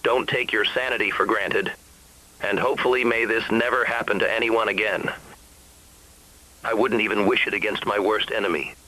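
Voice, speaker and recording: A man plays a lowish-voiced, worn-out survivor recording a last confession in an analog horror story. His delivery is halting and brooding, resigned to the worst, with a tense, forward edge to the tone. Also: steady tone, pushed and bright, poor audio. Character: weary, despairing man isolated by a sleepless epidemic.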